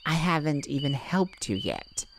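An unhurried, slightly low-voiced mystic, acted by a woman, calm and enigmatic in an intimate audio roleplay. The delivery is soothing, with hesitant pauses as if peering into someone's mind. Faint birds and crickets sound behind the voice. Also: slight background noise, full character voice. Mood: reassuring, matter-of-fact. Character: calm, enigmatic mystic who peers into minds. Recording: nature ambience with birds and crickets.

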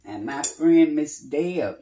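An older woman, chatting in an unhurried way as she cooks in her home kitchen and explains each step. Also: low voice, lively intonation, dark tone, slight background noise. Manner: unhurried, chatty, talks while working with pauses and fillers. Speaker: home cooking channel host. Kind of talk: casual cooking demonstration. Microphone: phone camera a few feet away on the counter.

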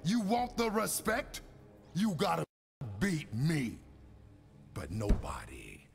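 An actor playing a menacing, boastful middle-aged crime boss and fight promoter in a video game cutscene, taunting and domineering. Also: male voice, a little high, wildly swooping pitch, slow pace, vocal fry, exaggerated voice. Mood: boastful challenge. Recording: voice in long hall reverb under loud music.

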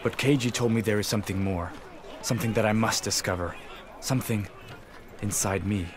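A man playing an earnest, brooding young samurai in a video game, speaking gravely and introspectively. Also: lowish voice, fairly even intonation, a little fast, noisy background, close to an everyday voice. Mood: solemn, reflective. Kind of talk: solemn video game voice acting. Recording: game cutscene with clear music behind.

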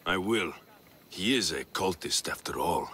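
An actor playing a bold young Spartan warrior with a Greek accent, blunt and accusatory, in a video game. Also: male voice, sits low, lively intonation, unhurried, sharp tone, breathy edge, full character voice. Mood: resolute. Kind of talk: video game cutscene acting. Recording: reverberant hall, some background noise.

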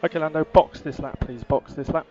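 A man working as a race engineer, giving calm, clipped, repeated instructions over a team radio. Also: a little high, fairly quick, soft background music. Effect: team radio filter. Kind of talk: terse, instructional radio messages. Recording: muffled, noisy motor-racing team radio.

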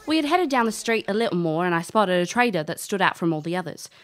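A woman's voice: lowish voice, big pitch swings, fast. A woman playing a breezy, brash elf adventurer who narrates her own tale in an audio drama. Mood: storytelling. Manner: informal, brash. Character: cheeky, breezy female elf adventurer recalling her youth.

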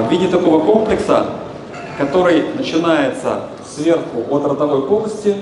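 A man giving an informal, explanatory health lecture from a stage, talking colloquially and putting questions to his listeners. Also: a little high. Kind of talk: informal public lecture to an audience. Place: stage in a large exhibition hall, recorded from the audience. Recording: echoey, noisy expo hall through a PA.

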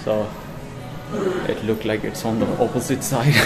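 A man's voice: fairly low pitch, fairly even intonation, unhurried, loud music behind the voice, poor audio. A man in his thirties from Nepal, chatting upbeat and directly to viewers in a casual vlog filmed on a phone held at arm's length. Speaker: vlogger. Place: streets, stairwell and gym at dawn, then a bedroom. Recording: phone audio with clear background noise.

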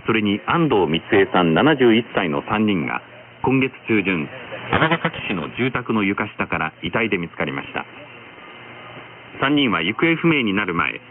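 A man reading scripted news as a radio announcer over shortwave, in a formal, measured manner. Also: lowish voice. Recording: noisy, narrow-band shortwave radio reception. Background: radio static and noise.

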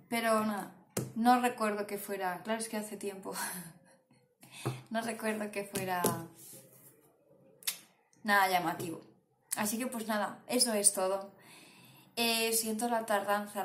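A young woman with a Castilian Spanish accent chatting casually and rambling informally to the camera as a book vlogger. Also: a little high. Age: young adult. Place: small indoor room at home. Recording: echoey room, clear background noise.

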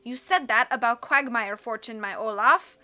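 A woman narrator playing a wheedling storybook fortune-teller with a thick foreign accent. Her grammar is broken and her voice is high and bright, over light music. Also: normal speed. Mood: pleading, complaining. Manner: broken syntax, peppers speech with "please".

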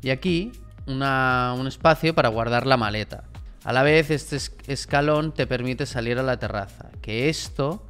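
Chatty man with a boom mic. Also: moderate pace, clean tone, faint music behind. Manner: relaxed, chatty, gestures a lot while explaining. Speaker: vlogger and designer. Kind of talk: casual explanatory vlog. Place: small room at home, indoors. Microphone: large studio mic on boom arm.

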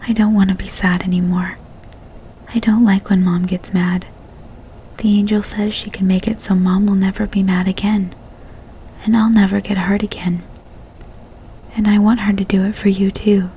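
Woman whispering a young boy, pleading, earnest, mellow, hushed storybook voice. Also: mid-range voice, flat delivery, moderate pace, slight background noise, natural voice, low-quality recording.

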